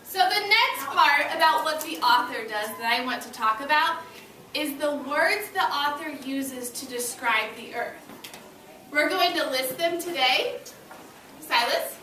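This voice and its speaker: A woman's voice: high-pitched, sharp tone. A woman teaching young children in an interactive read-aloud lesson, addressing them directly with short prompts and questions. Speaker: elementary school teacher. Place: classroom. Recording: roomy, distant mic with background noise.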